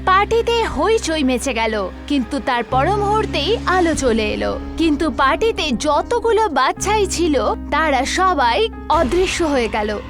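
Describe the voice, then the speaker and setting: Very high-pitched woman story narrator. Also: very animated, unhurried, music in the background. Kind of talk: scripted horror story narration.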